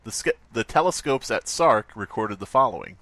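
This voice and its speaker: A man's voice: on the low side, animated, moderate pace, a bit bright, dry recording. Joking man on a dry mic. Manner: joking, thinking aloud with fillers. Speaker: podcast co-host. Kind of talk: casual podcast chat.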